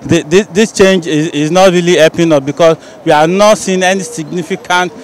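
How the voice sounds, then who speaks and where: A man's voice: a little high, fairly even intonation. A young Nigerian man with a Nigerian accent, speaking critically and with some hesitation in a street interview. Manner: critical, measured, hesitant fillers. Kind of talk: vox pop street interview. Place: city street outdoors. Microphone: handheld reporter mic. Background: traffic and street ambience.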